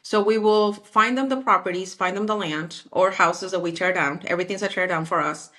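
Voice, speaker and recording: A woman in her forties from Mexico, speaking as an interview guest, lively and acting out remembered conversations. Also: on the low side, fairly quick. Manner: animated, acts out quoted dialogue. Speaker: guest, architectural designer.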